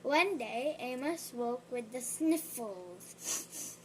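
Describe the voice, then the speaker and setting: Lady reading a kids book out loud, high voice, real slow and gentle, little chatty asides, faint hum behind. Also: animated, poor audio. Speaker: storybook reader. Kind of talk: reading a picture book aloud.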